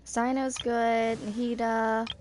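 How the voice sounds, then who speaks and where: A woman's voice: a little high, flat delivery, takes it slowly, a little mellow, slight background noise. A woman in her twenties chatting casually to viewers as she streams a game, rambling and thinking aloud. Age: 20s. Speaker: streamer playing a video game.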